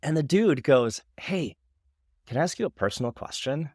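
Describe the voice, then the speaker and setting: Animated man in his forties telling a story on a podcast, laughing often and jumping to excited highs. His voice is mid-pitched and recorded dry and close, with no room sound. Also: normal speed, no room sound. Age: 40s. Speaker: podcast co-host. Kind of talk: casual chat.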